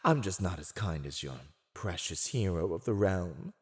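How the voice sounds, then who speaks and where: Theatrical, mocking villain with swooping pitch. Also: male voice, animated, moderate pace, very noisy. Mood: taunting, cold. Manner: theatrical, condescending, teasing. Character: silver-tongued, mocking fantasy villain gloating over a prisoner. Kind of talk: scripted ASMR roleplay monologue.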